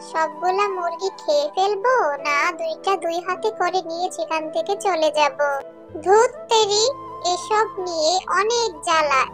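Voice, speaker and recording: A woman voicing a greedy, scheming eagle thief in a cartoon, grumbling away to itself. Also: very high voice. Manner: grumbling self-talk. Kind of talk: cartoon character acting.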